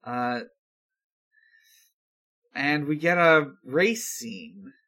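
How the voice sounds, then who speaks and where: A man hosting a podcast, giving a casual recap in a halting, unhurried way full of hesitations. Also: medium pitch, lively intonation, bright, forceful tone. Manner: halting, filler-heavy, unhurried. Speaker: podcast host. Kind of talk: casual episode recap with frequent pauses.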